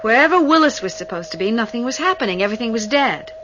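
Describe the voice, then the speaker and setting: An inquisitive female starship crew member who keeps pressing her point. She speaks fairly high with lively intonation, in vintage radio drama style on muffled tape. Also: fast. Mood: probing. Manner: questioning, insistent. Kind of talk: 1980 sci-fi radio drama acting. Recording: muffled, noisy old radio recording.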